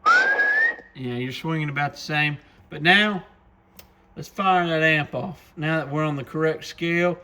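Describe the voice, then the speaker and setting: A man's voice: fairly high pitch, huge pitch swings, unhurried, pushed and bright, a little hiss or hum. A man giving a casual product demo in a folksy, enthusiastic way, like a shop presenter talking to viewers.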